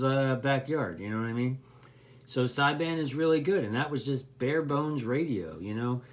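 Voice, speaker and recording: A man giving a casual, unscripted hobby explainer in a rambling, folksy way, often correcting himself as he goes. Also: medium pitch. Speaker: CB radio hobbyist explaining gear to beginners.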